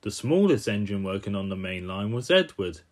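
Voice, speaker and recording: A man's voice: fairly low pitch, big pitch swings, moderate pace. A man narrating in the manner of a children's storybook.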